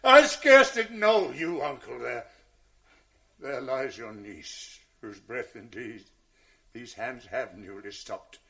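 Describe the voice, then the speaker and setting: An actor playing Othello, a proud general, who swings between roaring anguish and low grief in a 1980s radio drama. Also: male voice, lively intonation, slow, sharp tone, heavily acted.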